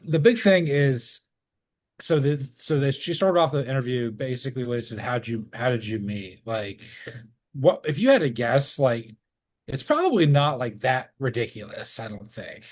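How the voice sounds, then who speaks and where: A man co-hosting a sports podcast in casual, jokey banter, rambling along and halting as he goes. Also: mid-range voice, lively intonation. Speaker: podcast co-host.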